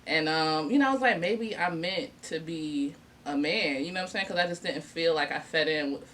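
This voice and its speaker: A woman's voice: low voice, normal speed, a bit bright. A young woman hosting a podcast, talking casually and directly with slang and asking questions. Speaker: podcast host. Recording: distant mic with faint noise.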